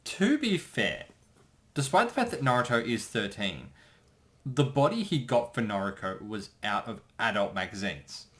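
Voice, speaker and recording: A man's voice: mid-range voice, big pitch swings, moderate pace, fairly bright tone. A man co-hosting a casual commentary in a matter-of-fact way, chipping in with short remarks.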